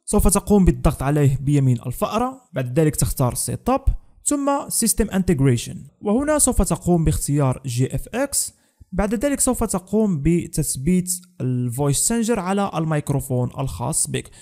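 A man's voice: on the high side, sing-song, brisk, warm tone. A young man narrating a scripted tech tutorial as the host of a channel.

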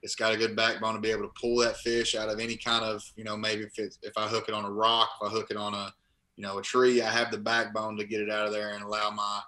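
A man's voice: on the low side, monotone, quick pace, pushed and bright. A young man, a guest in a casual interview, talking from his living room over a laptop video call, rambling and restarting phrases as he explains. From Kentucky. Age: young adult. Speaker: guest, college linebacker and bass angler. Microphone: laptop webcam on video call.

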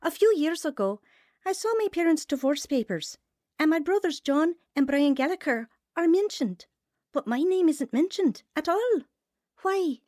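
Wistful young woman, higher-pitched. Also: animated, moderate pace, darkish tone, no room sound, studio quality. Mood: eager, questioning. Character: wistful Scottish woman recalling her lonely youth. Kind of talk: first-person radio drama narration and dialogue.

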